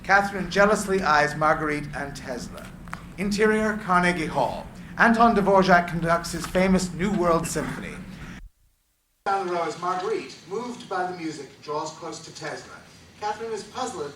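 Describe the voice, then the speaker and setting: A man's voice: high-pitched, moderate pace, a bit bright. A man reading screenplay action and stage directions as narrator at a live script reading. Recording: echoey room with clear background noise.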